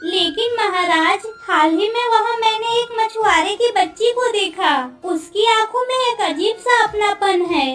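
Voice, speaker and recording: A woman's voice: very high voice, a little slow, few pauses, fairly bright tone, a little hiss or hum. A woman voicing an anxious, tender queen who longs for her lost daughter in a cartoon story. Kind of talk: Hindi cartoon story dialogue. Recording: roomy, distant sound with faint music.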